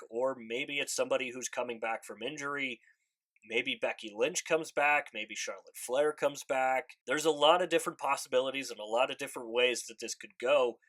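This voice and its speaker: A man's voice: medium pitch, a bit bright. A man with a congested voice from allergies, talking through his predictions in a casual solo video, often repeating himself as he thinks aloud. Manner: speculative, conversational, repeats "I think" and "again". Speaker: wrestling commentary YouTuber.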